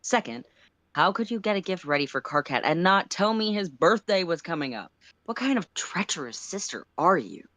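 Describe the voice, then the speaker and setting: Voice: mid-range voice, big pitch swings, normal speed, sharp tone, no echo, pitched above the performer's own voice. A narrator voicing an anxious, sarcastic teenage boy who mutters and interrupts himself in a story reading. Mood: mock outrage. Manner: muttering, self-interrupting, sardonic.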